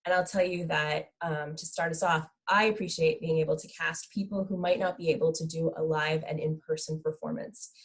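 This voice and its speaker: Female moderator, lowish register, hosting an online talkback. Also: fairly even intonation, normal speed, hardly any pauses. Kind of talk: hosted panel discussion over video call.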